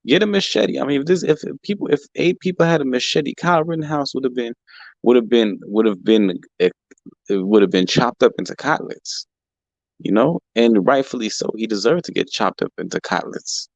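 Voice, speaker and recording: A young man hosting a casual livestream, talking in a heated, profane way and repeating himself, his voice a bit rough from allergies. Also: mid-range voice, expressive, moderate pace, no room sound, muffled recording. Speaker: livestream host. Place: home in California.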